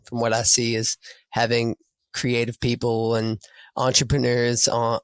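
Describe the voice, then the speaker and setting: This is a man with a raspy voice, talking earnestly over a video call. He takes his time and circles back over his words as he thinks. The recording is dry and close, with nothing echoing around him. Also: mid-range voice. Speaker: coach and podcast guest. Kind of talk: casual podcast interview.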